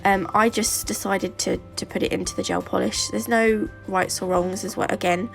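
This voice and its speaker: Stuffy-nosed British woman, gravelly edge. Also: medium pitch, steady tone, moderate pace, faint music behind. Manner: chatty and rambling, with fillers and asides. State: sore throat, stuffy nose. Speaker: nail tutorial vlogger. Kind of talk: casual how-to narration.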